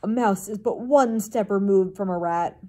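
A woman's voice: mid-range voice, moderate pace, dark tone, close to an everyday voice. A stubborn, grieving king from a storybook played by a woman, full of bluster. He is imperious and dismissive, pushing out his words as one who will not be contradicted. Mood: indignant. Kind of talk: storybook character voice.

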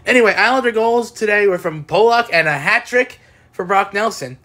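A young man giving casual, excitable postgame recaps as a sports fan, recorded on a phone at home. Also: sits high, normal speed. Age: young adult. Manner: excitable, exclamatory fan cheering. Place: small indoor room at home. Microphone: phone at arm's length.

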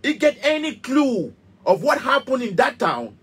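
A man's voice: very high-pitched, big pitch swings, a little slow, a bit bright, husky. A man in his thirties with a Cameroonian Pidgin English accent, a musician speaking passionately and emphatically to camera from a small studio. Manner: passionate, emphatic, repetitive, gesturing. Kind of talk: heated direct-to-camera rant.

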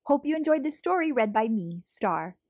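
A woman reading a children's picture book aloud as a storytelling host, in an expressive manner for young listeners. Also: a little high, normal speed. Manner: animated, clearly paced storytelling for young children.

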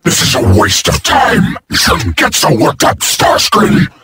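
A man voicing a sardonic, thuggish robot henchman in a video game, gravelly, cocky and grumbling. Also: a little high, huge pitch swings, unhurried, music in the background. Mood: grumbling, sarcastic. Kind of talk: video game character voice acting.

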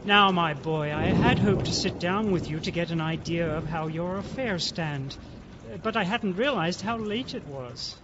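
An actor playing a genial, avuncular country gentleman in a radio drama, hearty and slyly affable. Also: high voice, unhurried. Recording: dull, noisy old radio-play sound.

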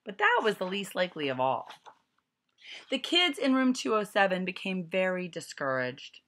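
Lively, bright-voiced woman storyteller. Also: on the low side, moderate pace. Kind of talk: animated picture-book reading for children. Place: small furnished room at home. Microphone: webcam at arm's length.

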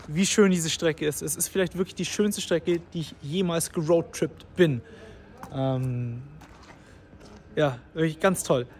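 A man's voice: fairly high pitch, a little hiss or hum. A man vlogging casually on a road trip, chatty and enthusiastic, with plenty of fillers, talking from his car and at charging stations.

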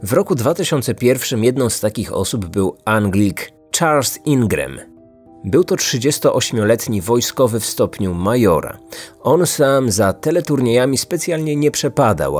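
A man's voice: fairly low pitch, a little mellow. A man from Poland in his thirties narrating a scripted story as a podcast host. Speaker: true crime podcast host. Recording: music bed behind the voice. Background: background music.

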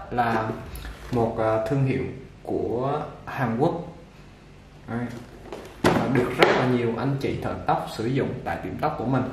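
A man giving a casual unboxing demonstration of a hair clipper, talking relaxed with many fillers while he handles it. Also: mid-range voice. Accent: Vietnamese. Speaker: product reviewer for a barber supply shop. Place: indoor shop table with clipper boxes. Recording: echoey room with machine noise. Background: clipper motor buzz and clicks.

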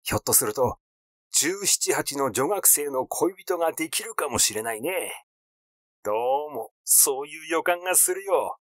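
Man acting excitable glib schemer, audiobook dialogue, blunt and teasing, lively swooping pitch, dry close sound. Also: moderate pace, no room sound. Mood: glib, eager. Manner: blunt, teasing, effusive, rambling. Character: Kyoroku, rash, glib, excitable man given to flattery and schemes. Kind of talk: literary audiobook character dialogue.

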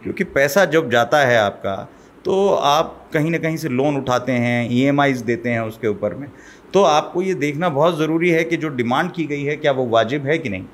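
A man's voice: fairly high pitch, lively intonation, a little hiss or hum. A middle-aged man as a guest expert, explaining step by step in a studio interview at a desk mic. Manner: measured, explaining, code-mixes English terms. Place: small studio set. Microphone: desk mic on boom arm.

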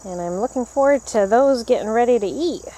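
A woman's voice: fairly high pitch, lively intonation, fairly quick, dark tone, poor audio. A woman in her thirties narrating a casual garden vlog, speaking into a handheld camera outdoors. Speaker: vlogger. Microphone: handheld camera at arm's length. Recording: outdoor camera audio with background noise.

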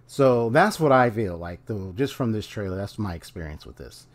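A man's voice: fairly low pitch, moderate pace. A young man casually reacting to a game trailer at home, speaking in a rambling, hesitant way into a desk mic. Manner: rambling, hesitant, many restarts, relaxed. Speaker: video game reaction youtuber. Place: small room at home, indoors. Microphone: desk microphone on a stand.

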